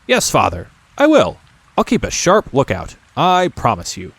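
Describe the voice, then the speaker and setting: Male narrator as plucky boy miner, lifted pitch, earnest, eager, storybook hero. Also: wildly swooping pitch, moderate pace, gravelly, fairly bright tone, no room sound, exaggerated voice. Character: brave, eager, dutiful young miner boy.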